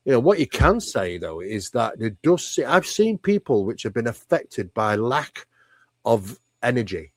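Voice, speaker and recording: A man giving an interview as a researcher, with a very animated pitch that swings up and down. He talks in an emphatic, conversational way, posing questions and repeating phrases for stress. The sound is dry and close. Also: unhurried, dry recording. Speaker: interview guest, paranormal researcher.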